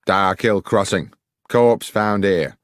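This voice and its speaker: A man's voice: lowish voice, slow pace, dry recording. A man voicing a stubborn, insolent portly village policeman with a British accent and a clipped, smug manner. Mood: blunt.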